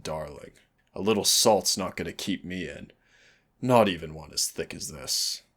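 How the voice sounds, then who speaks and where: A very low-voiced male demon, acted by a man in an audio roleplay. He drawls at a slow pace, sardonic and cocky, with a teasing, exasperated fondness for the human he trains. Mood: wary, incredulous. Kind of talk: scripted roleplay audio acting.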